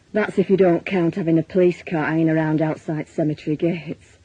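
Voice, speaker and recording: Glum northern english bloke narrating radio play, husky, dry muffled sound. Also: male voice, a little high, no room sound. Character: glum, plain-spoken working-class man narrating family trouble. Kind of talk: first-person radio drama narration.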